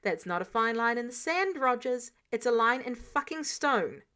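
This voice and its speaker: A woman's voice: a little high, animated, normal speed, sharp tone. Woman playing a blunt, defiant male superhero. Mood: angry, defiant. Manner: blunt, emphatic. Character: defiant, sardonic male superhero, fiercely protective.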